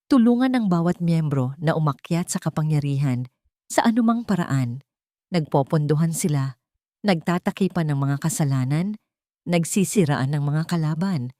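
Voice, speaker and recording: A woman's voice: low voice, warm tone, no room sound, studio quality. A woman with a Filipino accent narrating a scripted dramatic story.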